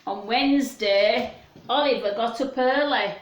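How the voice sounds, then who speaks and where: A woman with a fairly high voice reads a children's story slowly and expressively. She breaks off for gentle questions to small listeners. The room around her is echoey. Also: dull audio.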